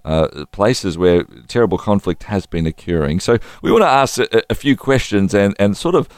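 Radio host, male, low voice, friendly and halting, dry studio sound. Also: animated, darkish tone, no room sound. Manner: friendly, halting, many restarts. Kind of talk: radio interview.

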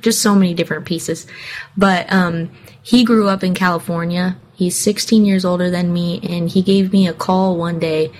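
A woman's voice: fairly low pitch, fairly even intonation, normal speed, darkish tone. A young woman from Hawaii, a singer telling her life story in an interview, candid and earnest, with frequent fillers. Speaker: singer guest. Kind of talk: personal interview answers.

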